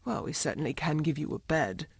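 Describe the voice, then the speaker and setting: Fantasy game innkeeper's wife: heavily rasped, gravelly, sly and folksy. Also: female voice, low-pitched, animated, fairly quick, heavily acted. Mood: sly, welcoming. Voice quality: very raspy, creaky. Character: sly, folksy madame of a tavern. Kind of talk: video game voice acting.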